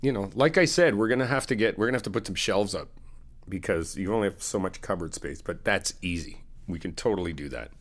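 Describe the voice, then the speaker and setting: A man's voice: deep voice, lively intonation, fast. Laughing, chatty man playing a best friend. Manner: chatty, laughs often, teasing and upbeat. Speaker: ASMR roleplay creator playing a best friend. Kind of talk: casual friendly roleplay chat to the listener.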